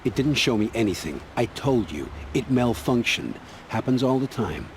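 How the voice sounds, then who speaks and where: An actor playing a gruff, evasive ruin scavenger and scout in a video game, brusque and defensive. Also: male voice, medium pitch, very animated, moderate pace. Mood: defensive, irritated. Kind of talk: acted video game dialogue. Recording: clearly noisy, ambient background.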